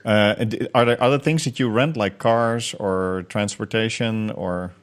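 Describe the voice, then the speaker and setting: A man's voice: lowish voice, a little slow. A man hosting a podcast interview, asking friendly, rambling questions with many fillers and restarts. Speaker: podcast host.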